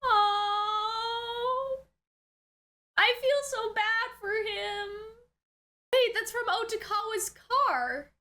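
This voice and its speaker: A woman giving casual, unscripted reaction commentary as a video host, expressive, exclamatory and slangy, thinking out loud. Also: very high-pitched, drawn-out words, long pauses. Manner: chatty, thinking aloud.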